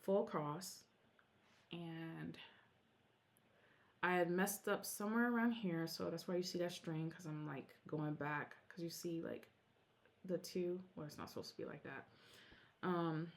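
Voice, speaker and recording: A woman whose first language is Korean talks casually in a vlog, rambling and breaking off mid-thought. Her voice sits a little low with a gravelly, creaky edge. She takes her time, speaking slowly. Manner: chatty, rambling, self-interrupting. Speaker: Flosstube vlogger. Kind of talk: casual show-and-tell vlog.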